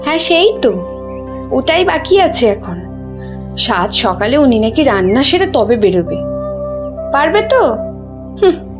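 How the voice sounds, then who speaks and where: A woman playing a sharp-tongued young village wife in an audio drama, with a bantering manner. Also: high-pitched, big pitch swings, measured pace, long pauses, over music. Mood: mocking. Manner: sarcastic, bantering.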